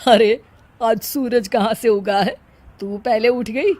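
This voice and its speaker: A woman voicing an older, sharp-tongued mother-in-law who scolds and complains in a cartoon story. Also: a little high, slow. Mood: teasing.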